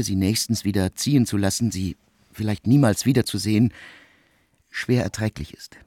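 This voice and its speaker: A man playing a reserved, lonely composer of about forty in a radio drama, speaking formally and haltingly, as if confiding. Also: lowish voice, a little mellow, husky.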